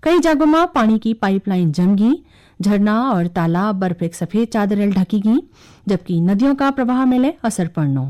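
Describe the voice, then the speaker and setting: A woman reads scripted regional radio news in a Kumaoni accent. Her voice sits at a medium pitch and has a soft, mellow tone. The intonation moves up and down in a lively way. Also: moderate pace.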